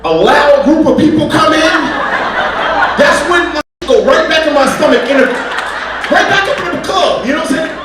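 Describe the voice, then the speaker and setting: A man doing a stand-up comedy set, animated, acting out bits with loud yelps and squeaky voices. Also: normal speed, heavy background noise. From United States. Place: comedy club stage. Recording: distant, echoey live club recording with loud crowd.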